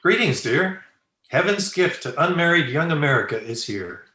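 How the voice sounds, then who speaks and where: A man's voice: fairly high pitch, sing-song, moderate pace, pushed and bright, a little hiss or hum. A man playing a suave, flippant bachelor playboy in an old-time radio comedy, breezy and self-satisfied. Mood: breezy, boastful. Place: indoor room, remote video call. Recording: roomy echo on home video-call recording.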